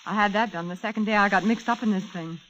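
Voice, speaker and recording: A woman's voice: medium pitch, quick pace, fairly bright tone, slight background noise, lightly acted. An actress playing a frightened, framed young woman in a 1940s radio mystery. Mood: eager, brisk. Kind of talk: 1940s radio drama acting. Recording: muffled, band-limited old radio recording with music.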